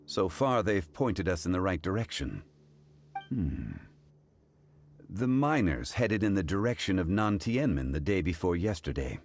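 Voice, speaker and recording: A dignified, composed gentleman from a video game, with a very deep voice that still moves with lively inflection. He speaks formally and deliberately. A faint hiss sits under the audio. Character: composed, dignified older male consultant.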